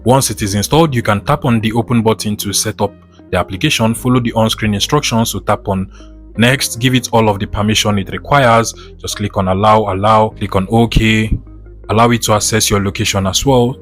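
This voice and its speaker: A man's voice: on the low side, a bit bright. A man narrating a step-by-step tech tutorial in a methodical, instructional manner. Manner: methodical, instructional, repeats set phrases. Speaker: tutorial host.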